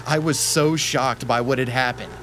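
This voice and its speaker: A man's voice: mid-range voice, fairly even intonation, moderate pace, a bit bright, slight background noise. A man narrating an internet horror story as a dramatic reading. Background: background music.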